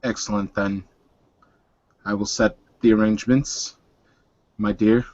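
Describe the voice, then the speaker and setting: A man playing an earnest, courteous werewolf suitor in a tabletop game, with formal, deliberate and slightly halting speech. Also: on the low side, flat delivery, darkish tone, muffled recording, lightly acted.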